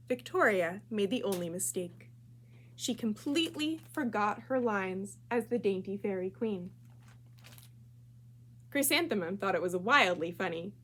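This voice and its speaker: A woman reads a picture book aloud for children. Her voice is on the high side and highly animated, with careful, expressive phrasing. There is faint noise in the recording. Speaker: library storytime reader.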